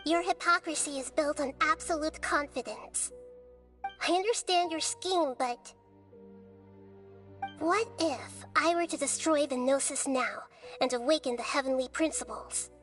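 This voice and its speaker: A very high, girlish goddess in a video game. She is wise, composed and earnest, challenging gently in measured phrases over soft music. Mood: resolute.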